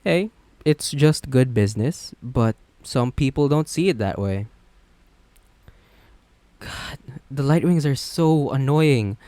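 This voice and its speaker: A man's voice: medium pitch, animated, slow, pauses often, mellow, no echo. A man playing a smug, flirty businessman supervillain, chatty and sardonic, in a romantic roleplay audio drama. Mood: playful, chatty, then exasperated ranting. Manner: chatty, sardonic, self-assured.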